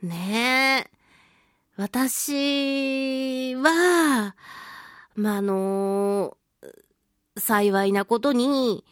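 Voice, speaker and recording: A woman hosting a casual solo radio talk show, speaking in an expressive, self-deprecating way with drawn-out exclamations. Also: a little high, clean tone, dry recording, studio quality. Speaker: voice actress and singing event MC.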